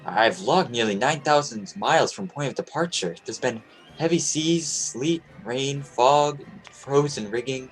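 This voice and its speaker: A man's voice: medium pitch, a little slow, soft background music, natural voice, rough recording. Man playing an earnest young sea captain. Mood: solemn narration. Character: earnest, confident twenty-year-old New England sea captain. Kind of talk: old-time radio play acting, narrating his log.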